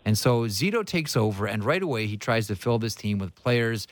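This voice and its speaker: A man's voice: on the low side, very animated, normal speed, no room sound. Man hosting casual hockey talk, dry sound. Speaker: sports talk show host.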